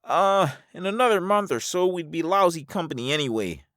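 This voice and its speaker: A man voicing a weary, philosophical spaceman in a science fiction audiobook, folksy and excitable as he reasons aloud. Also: on the high side, brisk, dry recording, clean studio sound, voice pushed up. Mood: weary musing. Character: high-voiced drawling spaceman, weary, resigned, philosophical castaway.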